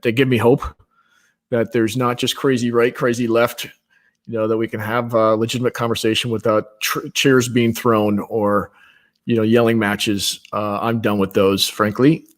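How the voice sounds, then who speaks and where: Direct-talking man as a video call guest. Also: mid-range voice. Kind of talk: casual conversation over video call.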